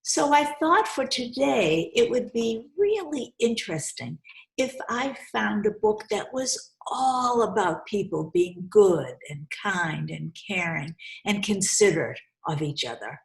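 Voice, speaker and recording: Slow-paced woman reading to children. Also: mid-range voice, lively intonation, gravelly. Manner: deliberate, instructive, repeats phrases for young listeners. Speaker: host reading children's books aloud. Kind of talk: storytime read-aloud with commentary to children. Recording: roomy, echoey sound.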